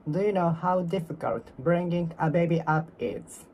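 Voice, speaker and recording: A speaker from Japan with a Japanese accent, practicing English alone, repeating and reworking each sentence in a careful, halting way. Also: medium pitch, a little mellow, slight background noise, rough recording. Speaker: English learner. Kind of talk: solo speaking practice, talking to oneself.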